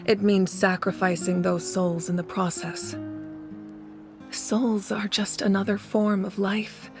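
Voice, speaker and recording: A composed, resolute warrior leader. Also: female voice, medium pitch, flat delivery. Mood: grave, resolute. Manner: measured, earnest, authoritative. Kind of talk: dramatic video game voice acting. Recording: game music behind the voice.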